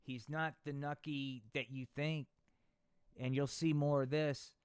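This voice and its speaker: A man's voice: a little high, flat delivery, unhurried, clean tone, dry recording, studio quality. A man hosting a live puppet show, narrating in a rambling, profane, slangy way. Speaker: puppeteer host.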